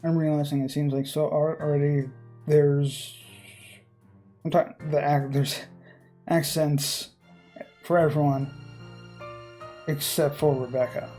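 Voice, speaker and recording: A person reading visual novel narration aloud, breaking off for informal, halting commentary. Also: mid-range voice, level tone, dark tone, faint music behind.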